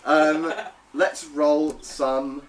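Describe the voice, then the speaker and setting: A man's voice: fairly high pitch, level tone, very slow, a crowd in the background, rough recording. A man running a tabletop game as game master, narrating playfully and humming sound effects.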